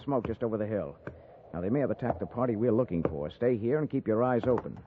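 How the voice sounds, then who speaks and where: A man playing an overconfident young cavalry lieutenant in a 1950s radio western, brisk and commanding. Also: fairly low pitch, lively intonation, phone-quality sound, natural voice. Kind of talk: 1950s radio drama acting. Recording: noisy old radio recording.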